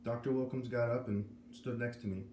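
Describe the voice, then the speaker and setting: A man's voice: mid-range voice, steady tone, brisk, a little mellow. Man reading aloud in an echoey room. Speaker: read-aloud host. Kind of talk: narrating a children's novel aloud. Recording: roomy echo, faint background noise.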